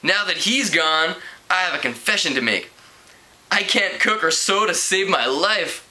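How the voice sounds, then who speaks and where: Storybook part: cool, assured young female substitute read by a man, upbeat, sharp bright tone, raised pitch. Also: lively intonation, a little slow, full character voice. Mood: confident, upbeat. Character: young, hip, breezy, confident female home ec substitute.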